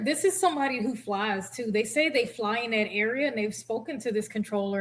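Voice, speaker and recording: A woman hosting a casual reaction commentary, reading out comments with a blunt, expressive delivery. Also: mid-range voice. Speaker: host, former air traffic controller.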